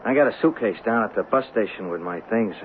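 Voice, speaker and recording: An actor playing a cocky, wary young hustler in a radio drama, with a slangy, streetwise manner. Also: male voice, on the low side, animated, a little hiss or hum, natural voice. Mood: wary, hopeful. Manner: streetwise, slangy, halting when cornered. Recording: muffled narrow-band radio broadcast with music.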